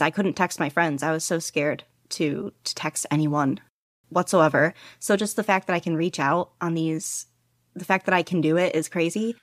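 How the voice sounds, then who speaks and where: A woman guest chatting candidly in an interview, rambling and self-deprecating with quick laughs. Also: fairly low pitch, dry recording, clean studio sound. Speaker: podcast guest, podfic reader. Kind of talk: casual interview chat.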